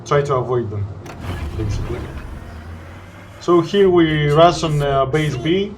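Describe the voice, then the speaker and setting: A man with a Greek accent, a non-native English speaker, narrating his gameplay in halting sentences full of "uh"s. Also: a little high, lively intonation, slight background noise. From Greece. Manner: halting, searching for words with frequent "uh". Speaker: gameplay commentator. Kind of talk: gameplay commentary.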